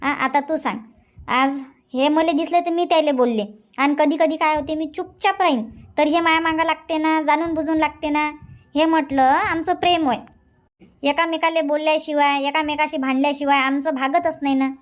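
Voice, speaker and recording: A woman's voice: high-pitched, steady tone, normal speed, close to an everyday voice. A woman playing a chatty, nagging but loving village wife in a cartoon comedy, speaking rural Vidarbha Marathi with emphasis. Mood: chatty, explaining. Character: bickering but loving Indian village wife and mother-in-law. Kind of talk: cartoon comedy dub dialogue.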